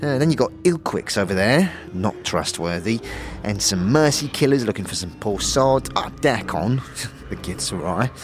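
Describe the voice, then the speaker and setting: A man's voice: fairly low pitch, animated, moderate pace, over music, close to an everyday voice. A man voicing a chatty, slangy tavern keeper in a fantasy audio drama, with stammering asides. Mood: confiding, chatty.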